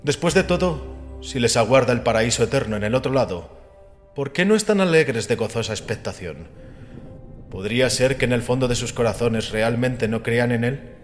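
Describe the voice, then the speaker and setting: A man's voice: mid-range voice, expressive, normal speed, big-room sound, lightly acted. Man voicing an imposing, mocking male skeptic. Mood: mocking challenge. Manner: probing, taunting rhetorical questions. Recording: music under the voice.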